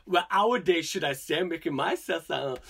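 A man's voice: on the high side, animated, heavy background noise. A man in his thirties, a guest drag performer chatting casually with fillers and giggles. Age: 30s. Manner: giggly, self-deprecating, halting with fillers. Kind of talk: casual interview chat.

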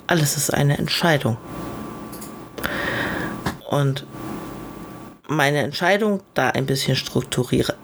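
Solo podcast host, gravelly and unhurried, unscripted and reflective, recorded with noticeable background noise. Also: mid-range voice. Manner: rambling, reflective, thinking aloud.

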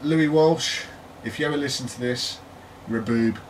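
A man's voice: medium pitch, moderate pace. British man, chatty podcast co-host. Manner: chatty, jokey, trails into laughter. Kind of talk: casual chat. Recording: distant mic with faint noise.

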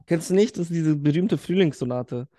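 A man's voice: fairly high pitch, a little fast, mellow, no echo, studio quality. A man co-hosting a casual podcast, joking, crude and laughing often. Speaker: podcast co-host. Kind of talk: casual chat.

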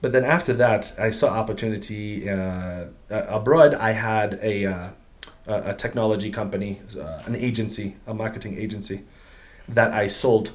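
A middle-aged man from Portugal, a guest on an interview podcast, telling his story in a relaxed, slangy way with many fillers and restarts. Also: fairly low pitch, moderate pace. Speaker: guest, business owner. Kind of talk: casual interview chat.

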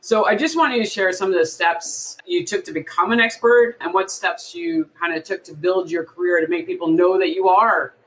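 A woman in her forties hosting an interview podcast, upbeat and quick to laugh. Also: lowish voice, low-quality recording. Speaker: podcast host.

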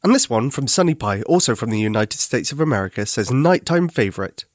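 A children's podcast host narrating a bedtime story in a gentle, carefully enunciated, expressive way. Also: medium pitch, quick pace, dry recording, studio quality.

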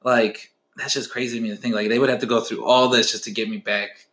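A young man from the United States in casual chat as a podcast co-host, curious and questioning, quick to laugh. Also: mid-range voice, level tone, fairly bright tone. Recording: roomy echo.

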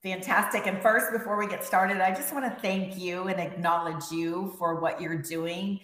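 A woman's voice: fairly low pitch, fairly even intonation, normal speed, fairly bright tone. Upbeat, laughing woman in an echoey room. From USA, Texas. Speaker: podcast guest. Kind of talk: casual podcast interview.

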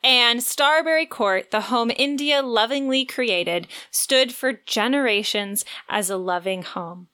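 A woman's voice: fairly high pitch, a little slow, few pauses, pushed and bright. A woman co-hosting a podcast, retelling a story in a playful, informal way. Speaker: podcast co-host. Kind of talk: lively retelling of a romance novel plot.

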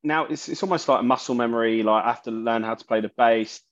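A man, a musician guest in an interview, talking haltingly and often restarting his sentences. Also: medium pitch. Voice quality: loud voice. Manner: halting, searching for words, many restarts. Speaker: musician guest, bassist and singer. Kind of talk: casual interview talk. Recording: dull lo-fi sound.

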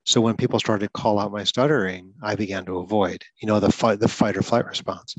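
Earnest male host who stutters, lowish voice, dry podcast sound. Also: steady tone, brisk, husky, no echo, low-quality recording. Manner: earnest, explanatory, occasional repetitions. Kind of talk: advocacy talk about stuttering.

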